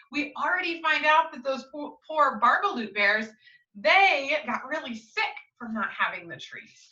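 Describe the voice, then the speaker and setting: A woman reading a picture book aloud to children in an expressive, teacherly way, pausing to put questions to them. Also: a little high. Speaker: children's storytime reader, teacher. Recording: roomy, slightly dull, distant microphone.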